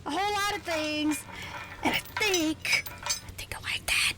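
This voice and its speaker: A woman playing an exhausted, prickly scientist with a soft side in an intimate ASMR roleplay, halting and teasing, often slipping into a whisper. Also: very high-pitched, noisy background. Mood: hesitant, tender. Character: exhausted, prickly, passionate female scientist, secretly softening toward intruder. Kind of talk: ASMR roleplay, intimate one-sided dialogue.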